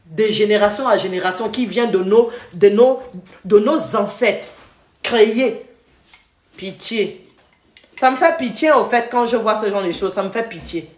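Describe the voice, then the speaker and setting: A woman's voice: mid-range voice, unhurried. A woman from Gabon hosting a live stream, scolding viewers in a heated, repetitive rant. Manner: scolding, repetitive, switches to English briefly. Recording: echoey room.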